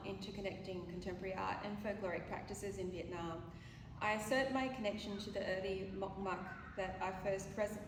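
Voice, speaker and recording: A woman artist from Australia giving a measured, reflective speech at an exhibition opening, reading part of it aloud with a few hesitant fillers. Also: medium pitch. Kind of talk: gallery opening speech, partly read aloud. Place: gallery room. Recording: distant mic in a roomy gallery with background noise.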